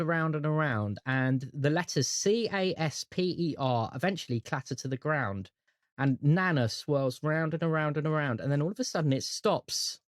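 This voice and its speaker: A man's voice: a little high, no room sound. British male game master, dry close sound. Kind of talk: narrating a tabletop game, casual chat.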